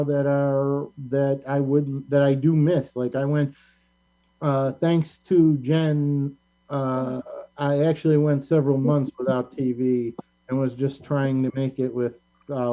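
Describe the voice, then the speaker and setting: A man with an average-pitched voice hosts a casual chat about pop culture. He is opinionated and rambles, often halting as he goes. The recording is dull and band-limited. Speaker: talk show host.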